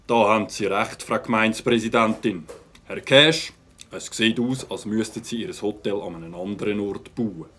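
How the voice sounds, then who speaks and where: Man playing a deliberate village policeman. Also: on the low side, a bit bright. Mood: stern. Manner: deliberate, official. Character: dutiful, incorruptible village policeman.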